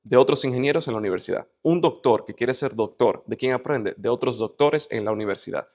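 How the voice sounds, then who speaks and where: A man's voice: mid-range voice, brisk, darkish tone. A man with a Dominican Spanish accent speaking as an interview guest in an emphatic, motivational way, often building points with lists and rhetorical questions. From Dominican Republic.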